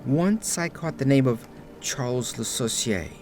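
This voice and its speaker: A man narrating gothic horror fiction in a measured, suspenseful, dramatic storytelling style, reading from a script. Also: mid-range voice, expressive, a little mellow, faint music behind. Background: ambient sound effects.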